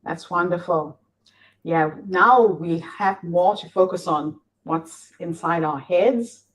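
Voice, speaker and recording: A woman's voice: fairly low pitch, slow, poor audio. A woman hosting an online interview, a Shona speaker using English as a second language, who asks careful questions and praises the answers. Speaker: interview host.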